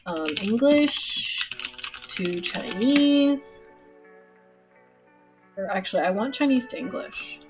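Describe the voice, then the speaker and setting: A woman's voice: fairly high pitch, lively intonation, takes it slowly, pauses often. A young woman streaming live while she paints, chatting casually with lots of fillers, her nose stuffed up. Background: soft lo-fi music.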